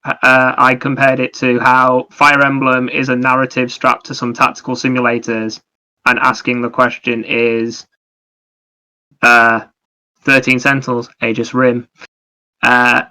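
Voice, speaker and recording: A man's voice: medium pitch, monotone, unhurried, bright, forceful tone, poor audio. A man co-hosting a podcast, telling anecdotes and chatting casually in a wry, teasing way. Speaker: podcast co-host.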